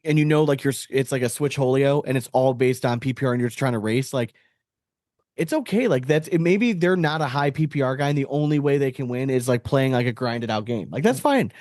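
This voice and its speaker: A man hosts a podcast in a casual, conversational way. His voice sits at a medium pitch and is recorded close and dry. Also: animated, a little fast, darkish tone, dry recording. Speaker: podcast host.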